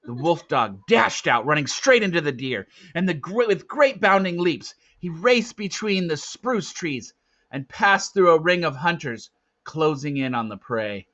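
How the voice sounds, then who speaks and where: A man reading a storybook aloud as narrator, with dramatic, suspenseful swells and hushed drops. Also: a little high, lively intonation, a little slow. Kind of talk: expressive storybook read-aloud. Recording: dull lo-fi, roomy echo.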